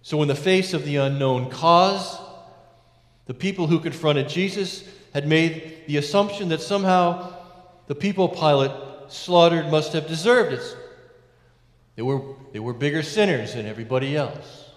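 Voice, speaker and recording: A middle-aged man, a priest, preaching a sermon from a lectern in a large church, measured with emphatic rises and hushed endings. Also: fairly high pitch. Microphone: lectern mic, far camera. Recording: echoey church with long reverb.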